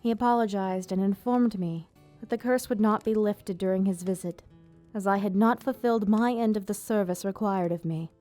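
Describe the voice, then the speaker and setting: A woman playing an elegant vampire ruler, proud yet tender, speaking in formal, measured phrases in an ASMR roleplay. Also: mid-range voice, dark tone, soft background music, natural voice. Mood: grave, disdainful. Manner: formal, measured, theatrical diction. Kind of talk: ASMR roleplay, scripted monologue to listener.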